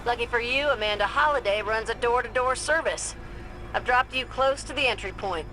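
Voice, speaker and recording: A woman's voice: on the high side, normal speed, noisy background, natural voice. A woman playing a breezy, confident, friendly pilot in a video game, casual and joking. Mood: breezy, teasing. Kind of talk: video game radio chatter.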